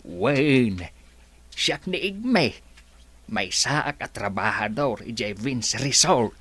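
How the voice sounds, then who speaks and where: Timid, loyal older Ilocano servant played by a man; slow, hesitant stammer, dry close recording, radio play. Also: medium pitch, animated, a bit bright, husky, no echo, exaggerated voice. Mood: earnest, warning. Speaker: actor in radio drama. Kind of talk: Ilocano radio drama acting.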